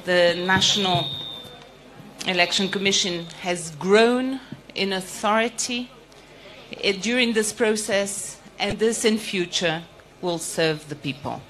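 Bright-voiced woman giving a formal address. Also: mid-range voice, a little hiss or hum. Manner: measured, deliberate delivery with pauses between phrases. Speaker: EU diplomat giving an official address. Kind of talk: formal ceremonial speech. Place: outdoor ceremony with a large seated audience. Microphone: handheld microphone held close.

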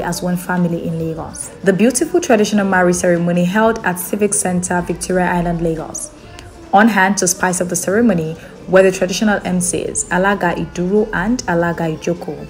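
A woman reading a scripted event report as a voice-over narrator. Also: on the low side.

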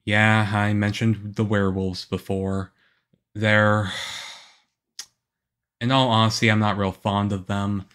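A man voicing a slow-talking, shy young vampire boyfriend. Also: lowish voice, little pitch movement, fairly bright tone, clear, resonant tone. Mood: quiet, matter-of-fact. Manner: hesitant, halting, earnest. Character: shy, moody, lonely young half-vampire boyfriend, male student. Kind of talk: ASMR boyfriend roleplay audio drama.

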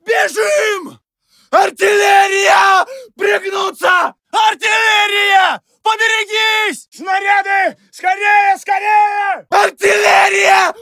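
Frantic shouting Russian soldier, high-pitched. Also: male voice, fairly even intonation, slow pace, creaky, dry recording, clean studio sound, some chatter behind, exaggerated voice. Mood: urgent alarm. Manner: short barked warnings. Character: Russian First World War infantryman, urgent and battle-hardened. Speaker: video game voice actor. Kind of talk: shouted battlefield callouts in a war game.

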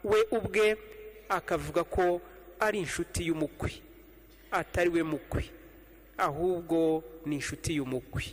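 Rwandan priest preaching in a reverberant church. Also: male voice, a little high, a bit bright. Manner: measured preaching with slow repeated refrains. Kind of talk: homily at evening Mass. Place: church sanctuary, standing behind an altar. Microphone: stand mic on the altar, fixed camera.

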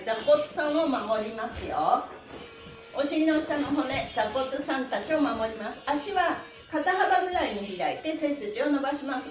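High-voiced, cheerful woman leading stretches. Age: middle-aged. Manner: cheerful, animated, calling out cues and chants. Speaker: stretching instructor and pantomime performer. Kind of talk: live-streamed exercise lesson with chanted counts. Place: small studio room with bare walls and wooden floor. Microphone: device camera a few steps away. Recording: roomy echo, picked up from across room.

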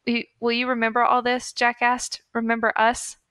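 A woman's voice: on the high side, monotone, moderate pace, bright, forceful tone, clean tone, no echo, studio quality. A woman podcast host reading fan fiction aloud in a mock-earnest storytelling manner.

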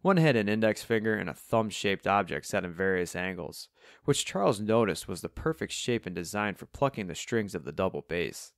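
Man, audiobook narration, scripted fiction, fairly low pitch, dry sound. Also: expressive, normal speed, no echo.

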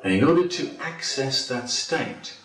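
A man leading a guided relaxation as a yoga instructor, speaking deliberately with pauses between phrases. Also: medium pitch, expressive, fairly bright tone. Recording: roomy echo, distant from mic.

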